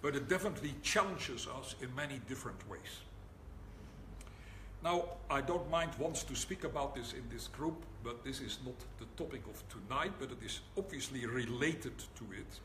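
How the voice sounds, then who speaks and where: An older man with a Dutch accent gives a public lecture as a rabbi, speaking in a wry, deliberate way and pausing for the audience's laughter. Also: mid-range voice, sharp tone. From Netherlands. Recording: echoey hall, audible room noise.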